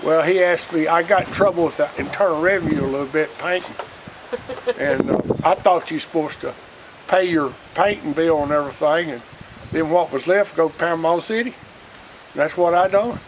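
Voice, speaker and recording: A man's voice: a little high, a little fast. Older Southern man over noisy wind. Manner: folksy, plainspoken storytelling. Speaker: interviewee, retired coal miner. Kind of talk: casual reminiscing. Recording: noisy outdoor recording with wind.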